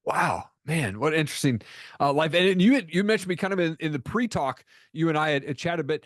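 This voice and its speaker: A man's voice: on the high side, animated, a little fast, no echo, studio quality. A man hosting a podcast interview, casual and upbeat, calling his guest "man". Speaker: podcast host.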